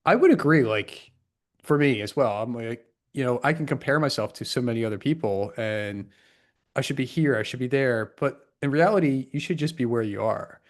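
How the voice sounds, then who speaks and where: A man's voice: mid-range voice, expressive, normal speed. A man hosting a podcast, talking loosely with lots of fillers and restarts. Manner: loose and rambling, many fillers. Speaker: podcast host. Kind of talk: casual podcast interview.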